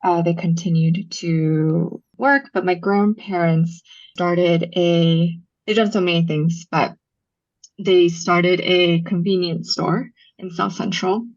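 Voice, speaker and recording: A young woman from Los Angeles talks as a podcast guest in a loose, chatty way. Her voice sits on the low side and is filled with ums and likes. The recording sounds dull and muffled. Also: steady tone, slow, a little mellow. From Los Angeles, USA. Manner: storytelling, frequent ums and likes, laughs easily. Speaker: podcast guest, brand founder. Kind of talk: casual interview chat.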